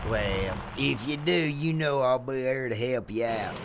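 A man's voice: medium pitch, a little slow, background noise, lightly acted. A man voicing a folksy, eager cartoon tow truck with a drawling Southern US accent. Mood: friendly. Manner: drawling, folksy bumpkin talk.

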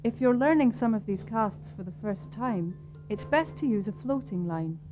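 Music plays clearly behind a woman. She delivers scripted instructional narration, measured and formal, as a voice-over. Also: mid-range voice, animated, a little mellow.

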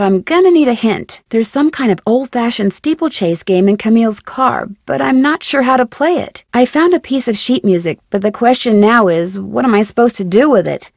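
Curious young american lady detective for a pc game, kinda high voice, polite and inquisitive, dry studio sound. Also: darkish tone, dry recording. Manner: inquisitive, clearly enunciated, courteous. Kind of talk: video game dialogue acting.

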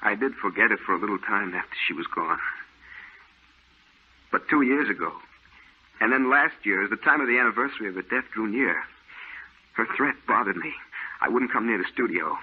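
A guilt-ridden, fearful painter in vintage radio drama. He speaks low and earnestly with a husky edge, through a thin, phone-like recording. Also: expressive, brisk, pauses often. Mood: uneasy. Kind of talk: old-time radio drama acting. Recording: muffled, narrow old radio recording.

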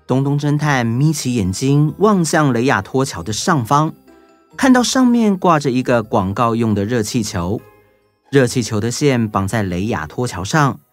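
Children's story narrator, lively varied intonation, scripted reading, music underneath. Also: mid-range voice, normal speed. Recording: music bed behind voice.

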